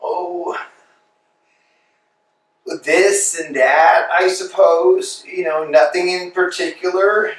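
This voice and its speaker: An actor as weary grumbling tramp Estragon. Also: male voice, high-pitched, steady tone, slow pace, pauses often. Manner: tired, dry and grumbling. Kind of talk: staged play reading. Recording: echoey room from far off.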